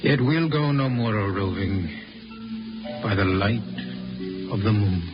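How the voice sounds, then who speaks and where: A man's voice: on the low side, animated, measured pace. Brooding idealistic spaceman, American, grave and impassioned, 1950s radio drama, dull old recording, faint music. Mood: wistful. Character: idealistic, brooding spaceman, bitterly ashamed of his own kind.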